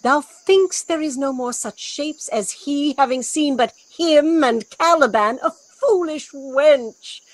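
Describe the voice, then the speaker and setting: A woman playing a stern, commanding sorceress mother in a Shakespearean audio drama, theatrical and sharp in rebuke. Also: sits high, slow pace, hardly any pauses, no echo, rough recording. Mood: stern, scolding.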